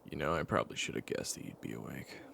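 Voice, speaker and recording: A man acting as a very deep, gravelly, weary guardian. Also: fast talker, fairly bright tone, a little hiss or hum, heavily acted. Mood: weary, sympathetic. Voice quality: very low gravelly voice. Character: weary, patient man caring for an amnesiac companion, retelling their past. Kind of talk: intimate second-person audio roleplay.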